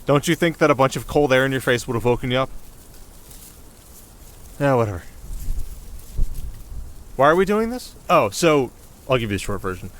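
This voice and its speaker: A man's voice: mid-range voice, expressive, normal speed, pauses often, a bit bright, close to an everyday voice. A man playing a cocky, playful young winter spirit in an ASMR roleplay, talking casually with sarcastic, teasing banter. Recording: clear outdoor ambience noise behind voice.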